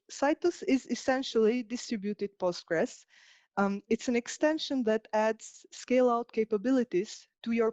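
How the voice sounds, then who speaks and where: A woman from Albania giving a prepared technical presentation as a software engineer. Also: mid-range voice, no room sound.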